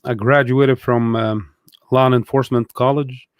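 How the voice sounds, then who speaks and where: A man's voice: mid-range voice, fairly even intonation, measured pace, clean tone, no echo, studio quality. Hesitant, thoughtful man on a call. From Egypt. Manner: thoughtful, hesitant, many fillers. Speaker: guest. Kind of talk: casual conversation, storytelling.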